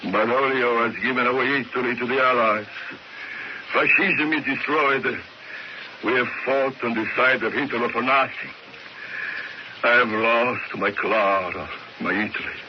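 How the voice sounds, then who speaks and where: An actor playing a bitter, self-pitying, middle-aged fallen Italian dictator in a radio drama, theatrical and volatile. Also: male voice, mid-range voice, fairly even intonation, unhurried, lightly acted. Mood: despairing. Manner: theatrical, brooding then explosive. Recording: muffled, very noisy radio recording.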